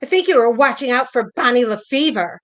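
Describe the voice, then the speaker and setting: A woman's voice: on the high side, expressive, brisk, fairly bright tone. A woman playing a hurt, jealous older wife, pointed and probing, in a naturalistic play reading. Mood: accusing, hurt. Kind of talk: virtual staged play reading, naturalistic dialogue.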